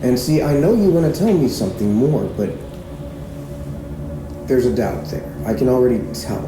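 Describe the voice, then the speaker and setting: An actor playing a measured police chief who questions someone in a deliberate, coaxing way in an audio drama. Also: male voice, mid-range voice, big pitch swings, natural voice. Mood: pressing. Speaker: actor in a fiction podcast. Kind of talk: naturalistic audio drama dialogue. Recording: roomy echo, music underneath.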